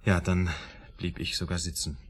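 A man's voice: low-pitched, level tone, normal speed, husky. An actor playing a self-pitying, unlucky failed writer who confesses to his therapist in a radio drama. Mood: resigned. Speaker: therapy patient.

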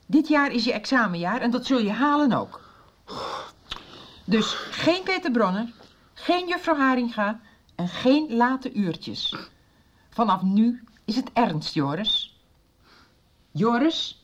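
Stern mother of a teenager, high-pitched and very animated, emphatic scolding, slightly muffled radio soap sound. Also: slow, pauses often, slight background noise. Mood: stern, exasperated. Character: strict, exasperated mother of a teenage son. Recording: muffled 1990s radio recording.

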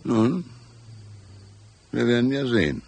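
A man playing a fatherly small-town sheriff in a radio drama, with a gravelly, husky voice and a slow, ponderous delivery. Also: mid-range voice, very animated, a little mellow, full character voice. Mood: calm, musing. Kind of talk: noir radio drama acting.

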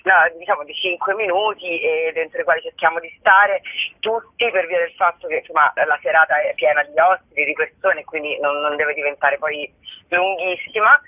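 A woman's voice: deep voice, moderate pace, no echo. A woman chatting as a guest in a casual interview, often hesitating and searching for words. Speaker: interview guest, performer and writer. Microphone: phone call. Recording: thin, band-limited telephone line.

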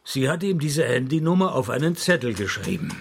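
A man playing a dry, sardonic private detective in a radio play, deadpan and laconic. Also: mid-range voice, brisk, gravelly, breathy edge. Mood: dry, probing. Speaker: lead actor and first-person narrator of a radio play. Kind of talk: radio drama dialogue and scripted first-person narration.

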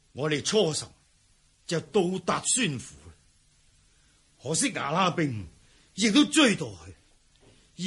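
Husky, high-pitched cowardly palace eunuch. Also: male voice, fairly bright tone, full character voice. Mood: anxious recounting. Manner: hesitant stammering, sighing. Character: cowardly fleeing imperial palace chief eunuch, evasive, self-serving. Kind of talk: Cantonese historical radio drama acting.

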